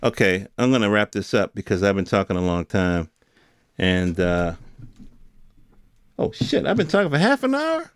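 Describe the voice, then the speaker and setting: A man's voice: on the low side, big pitch swings, normal speed, long pauses, clean tone, dry recording. An American man hosting a podcast, talking off the cuff in a casual, colloquial opinion monologue full of rhetorical questions. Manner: colloquial, rhetorical questions, mimics imagined speakers. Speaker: podcast host.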